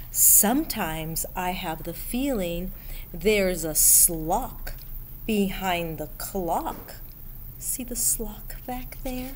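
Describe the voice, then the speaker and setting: A middle-aged woman reads a picture book to young children. Her delivery is extremely unhurried, with deliberate pauses and animated rises and falls in pitch. She speaks indoors into a webcam, with slight room noise behind her. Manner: expressive, deliberate, addressing young listeners with pauses. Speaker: school principal reading aloud to children. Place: school library room, indoors. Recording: steady background room noise.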